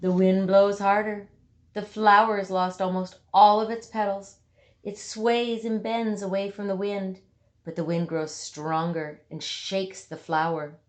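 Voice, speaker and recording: A woman reading a children's picture book aloud, with dramatic emphasis and deliberate pauses for young listeners. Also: medium pitch, little pitch movement. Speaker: storybook reader.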